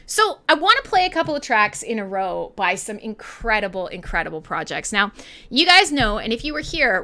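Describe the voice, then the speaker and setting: A woman with a Canadian accent hosts a casual music radio show. Her voice is bright and forceful, with very lively swings in pitch. She rambles irreverently, joking and swearing freely as she chats to listeners. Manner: sweary, jokey, rambling, laughs often. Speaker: online radio show host and DJ. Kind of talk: casual irreverent live radio chat between songs.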